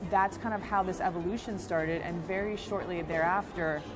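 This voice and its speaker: An American woman telling her personal story in an interview, earnest and thoughtful, in short phrases with pauses. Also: fairly low pitch, fairly even intonation. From United States. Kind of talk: reflective personal account. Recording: music bed underneath.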